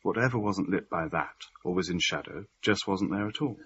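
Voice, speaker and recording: An actor playing an earnest, rational-minded man, telling of a haunting in measured radio-play narration. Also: sits low, fairly bright tone, husky. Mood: measured, intrigued. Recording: slightly muffled, faint background noise.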